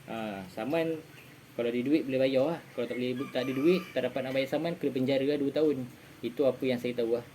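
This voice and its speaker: Mellow-voiced Malaysian man explaining casually, some background noise. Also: medium pitch, quick pace, poor audio. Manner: repeats phrases for emphasis, informal tag words. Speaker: amateur radio vlogger giving advice. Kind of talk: casual explainer chat to viewers.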